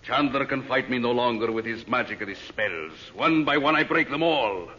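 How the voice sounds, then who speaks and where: An actor playing a grandiose, power-mad villain in a menacing, bombastic old-time radio serial style. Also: male voice, medium pitch, moderate pace, close to an everyday voice. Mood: gloating. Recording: dull old radio recording, loud music.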